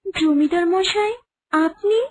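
A woman's voice: extremely high pitch, takes it slowly. An actress voicing a wronged young widowed mother in a cartoon, plaintive but firm and proud. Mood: pleading, defiant. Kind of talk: cartoon voice acting.

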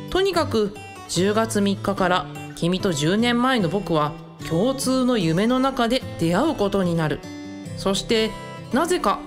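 A woman playing an earnest, gentle young male student reading out his letter in measured radio drama monologue. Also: mid-range voice. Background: guitar music.

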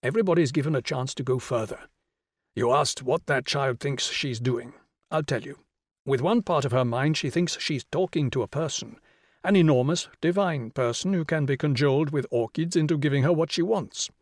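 A man's voice: mid-range voice, moderate pace, no echo, clean studio sound, lightly acted. A man reading a novel, voicing a patient, confident male intellectual who explains things articulately. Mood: emphatic.

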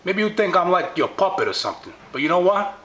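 A man voicing a gruff, dapper toy doll who grumbles low complaints about its outfit and drops menacing asides. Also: big pitch swings, quick pace, crowd noise. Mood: indignant.

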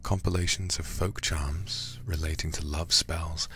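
A man reading a horror game aloud in ASMR style, hushed, breathy and deliberate, close to the microphone. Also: rumbling low, steady tone, slight background noise. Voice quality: breathy, whispery. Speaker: livestreamer playing a horror game.

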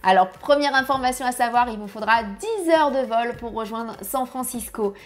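A woman in her thirties giving travel tips to camera from her home office, lively and chatty, on a lapel mic. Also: on the high side, normal speed, soft background music. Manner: lively, chatty, gesturing with hands. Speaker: family travel vlogger. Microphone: clip-on lapel mic.

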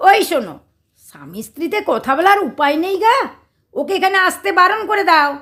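Actress as shrill-pitched, scolding wife. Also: female voice, big pitch swings, moderate pace, bright, forceful tone, heavily acted. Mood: indignant. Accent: West Bengal Indian. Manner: scolding, sarcastic, theatrical. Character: sharp-tongued, quarrelsome, mocking middle-aged wife. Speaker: actress in an audio drama. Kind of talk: Bengali domestic comedy radio play acting.

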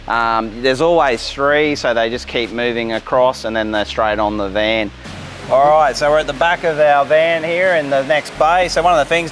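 A man's voice: mid-range voice, fairly bright tone. A man, giving an informal walk-through tour of a caravan factory as company head. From Australia. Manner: upbeat, informal, gestures at fittings while walking. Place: large indoor caravan factory and van interior.

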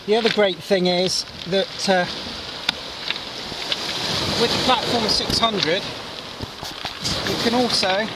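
A man's voice: sits high, slow, long pauses. A middle-aged man giving a casual hands-on gear demo on a pebble beach, explaining step by step with plenty of fillers. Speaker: kayak angler reviewing gear. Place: outdoors on a pebble beach by the sea. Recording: distant mic with loud wind and surf.

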